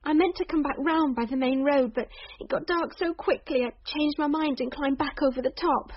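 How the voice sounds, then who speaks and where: Woman playing a high-voiced, bewildered young woman. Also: moderate pace, close to an everyday voice. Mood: insistent. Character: bewildered, defiant young woman who lost five days. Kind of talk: British radio drama acting. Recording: muffled, very noisy old radio recording.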